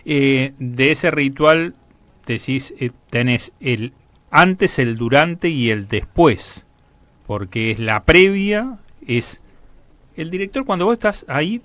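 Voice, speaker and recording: A man's voice: medium pitch, animated, slow pace, a bit bright, dry recording. Argentine man interviewing, dry close sound. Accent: Argentine Rioplatense Spanish. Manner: agreeable, frequent short acknowledgements. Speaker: radio interviewer and host. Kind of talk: casual interview chat.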